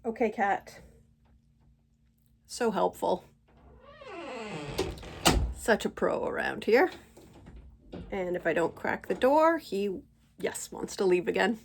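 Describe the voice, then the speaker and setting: A woman in her forties or fifties hosting her own channel, talking slowly and thoughtfully at home while stuffy from a cold. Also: mid-range voice, expressive, creaky, noisy background. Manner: gentle, unhurried, thoughtful pauses. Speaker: channel host. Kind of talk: slow reflective spiritual monologue.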